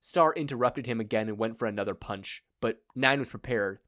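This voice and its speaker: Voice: medium pitch, fairly quick, a bit bright, no room sound, clean studio sound. A narrator reading a scripted fan fiction story aloud. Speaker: podfic narrator.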